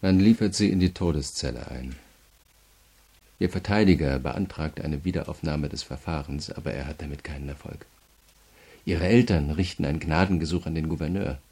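Radio crime drama: relentless prosecutor, deep creaky voice, measured and coldly courteous, never letting the prisoner off. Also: male voice, a little mellow, full character voice. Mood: cold, menacing. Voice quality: gravelly. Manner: measured, methodical, coldly polite. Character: cool, relentless male state prosecutor pressing a prisoner.

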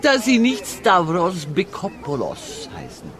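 Worldly older lady, lively intonation. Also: on the low side, a little slow. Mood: knowing, teasing. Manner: formal, reflective, gently teasing. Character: worldly, wistful, teasing older lady traveller. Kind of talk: German radio drama acting. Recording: clear background ambience noise.

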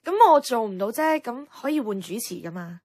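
An actress playing a frustrated, outspoken young radio worker in a Cantonese radio drama, with a Hong Kong accent and wry, expressive, colloquial delivery. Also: female voice, a little high, normal speed, no room sound, clean studio sound. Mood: hesitant. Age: young adult. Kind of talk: radio drama acting with inner-monologue narration. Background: background music.

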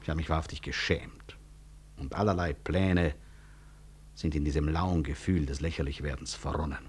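An actor playing a weary, proud physician-professor in a 1960s German radio drama, husky, reflective and articulate, with wry self-mockery. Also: male voice, a bass voice, steady tone. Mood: embarrassed, exasperated. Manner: reflective, articulate, deliberate stage delivery.

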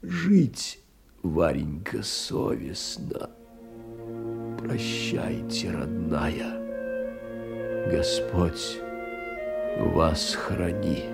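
An actor in an audio drama playing a timid, humiliated poor middle-aged clerk in despair, halting and anguished, with a husky, breathy voice. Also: male voice, sits low, sing-song, over loud music, full character voice. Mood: ashamed farewell. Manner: halting, anguished, swinging from whisper to outcry. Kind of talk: theatrical audio drama monologue.

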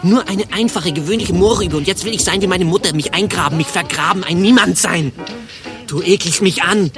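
An actor playing a weak, self-pitying but defiant administrative chief in a radio play, plaintive and overwrought. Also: male voice, high-pitched, fairly quick. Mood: bitter, defiant. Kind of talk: theatrical radio play acting. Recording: music behind the voice.

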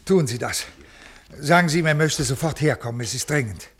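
An actor playing a stiff, agitated civil servant in a 1950s radio play, speaking formally and haltingly in a creaky voice. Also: male voice, a little high, animated, a little hiss or hum, lightly acted. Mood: agitated, pleading. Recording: 1950s radio studio recording.

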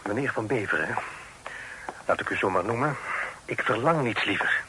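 Upright young gentleman, formal and frank, bright tone, noisy vintage radio play. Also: fairly low pitch, animated, moderate pace. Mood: indignant, earnest. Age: young adult. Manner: formal, frank, slightly impatient. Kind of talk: vintage Dutch radio drama acting.

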